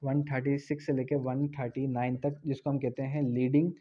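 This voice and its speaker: A man's voice: mid-range voice, little pitch movement, moderate pace. A man teaching law for an exam, asking rhetorical questions and repeating key points. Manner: explains with rhetorical questions and repetition. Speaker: law tutor. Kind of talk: exam-prep law lecture. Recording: dull lo-fi recording.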